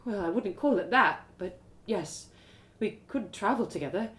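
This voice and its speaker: A woman's voice: mid-range voice, animated, normal speed, a bit bright, close to an everyday voice. A woman voicing a weary, guarded female elf warrior in a fantasy story, terse and brooding.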